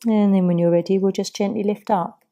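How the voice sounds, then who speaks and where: A woman teaching a yoga class, guiding the poses and breathing in a soft, soothing, unhurried way. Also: mid-range voice, fairly even intonation, muffled recording. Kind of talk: guided yoga class instruction.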